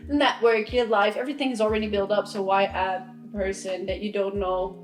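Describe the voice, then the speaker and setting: Young woman, european, giggly casual chat, soft guitar music behind. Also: mid-range voice, level tone, fairly quick, reverberant. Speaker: vlogger.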